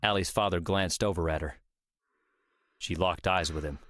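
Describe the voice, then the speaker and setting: A man's voice: deep voice, normal speed, a bit bright, no room sound, studio quality. A man reading scripted story narration for an audiobook.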